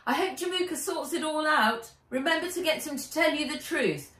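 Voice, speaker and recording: A woman's voice: high voice, normal speed, a bit bright, reverberant, voice pushed up. A woman voicing a chirpy, bossy schoolgirl friend on a call in a storybook reading. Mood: brisk, chirpy.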